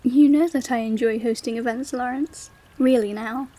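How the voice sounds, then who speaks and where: A woman's voice: fairly high pitch, steady tone, normal speed, mellow, close to an everyday voice. An actress playing a gracious, eager queen in a romantic audio drama, with a refined, formal and affectionate manner. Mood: delighted, brisk. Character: gracious, eager queen who loves hosting.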